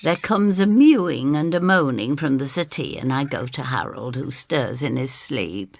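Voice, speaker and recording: An older woman reading scripted dramatic narration in the first person, measured, dropping into hushed asides. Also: extremely low pitch, lively intonation, gravelly.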